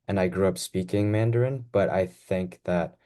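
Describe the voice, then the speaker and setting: A young man from the United States, a student on a panel, answering in a relaxed way with many "you know"s. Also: sits low, moderate pace. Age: young adult.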